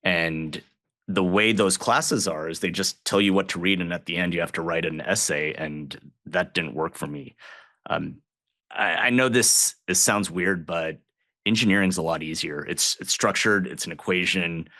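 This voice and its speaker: A man from Southern Illinois, a guest on a podcast, talking in a relaxed, conversational way with fillers and restarts. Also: low-pitched, moderate pace, no echo. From Southern Illinois, United States. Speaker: guest, water agency general manager. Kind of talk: casual podcast interview.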